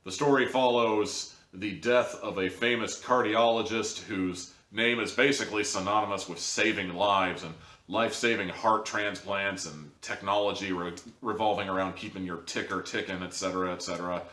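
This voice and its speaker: Bright, emphatic man reviewing in a roomy space. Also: fairly low pitch. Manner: emphatic, conversational, wry asides. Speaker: audio drama reviewer, show host. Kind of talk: casual, opinionated video review. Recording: roomy, distant microphone.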